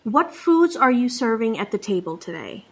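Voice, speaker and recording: A woman's voice: a little high, very animated, normal speed, husky. A woman playing a wry, reluctant reporter in a comedy sketch.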